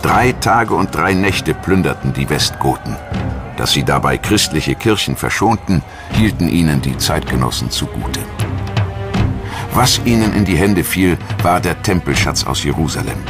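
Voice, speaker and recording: A man narrating a documentary in a scripted style, as narrator and voice-over. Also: a bass voice.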